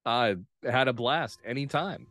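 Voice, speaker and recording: A man talking casually and reflectively as an interview guest, phrasing his thoughts in measured pieces. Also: mid-range voice, a bit bright, dry recording, faint music behind. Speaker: guest playwright and actor. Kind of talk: casual discussion.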